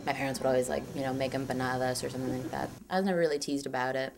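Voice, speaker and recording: A woman's voice: rumbling low, fairly even intonation, racing, gravelly, background noise. A woman in her twenties from Chile, telling personal stories in a chatty, casual way, full of "like" and acted-out quotes. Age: 20s. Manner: chatty, lots of "like", acts out quotes. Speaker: documentary subject. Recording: music playing underneath.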